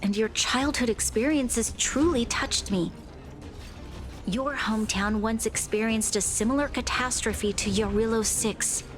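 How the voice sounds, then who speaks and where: A woman playing a composed, earnest young ruler in a video game, speaking in a formal, measured, resolute way. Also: on the high side, faint music behind, natural voice. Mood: earnest, diplomatic.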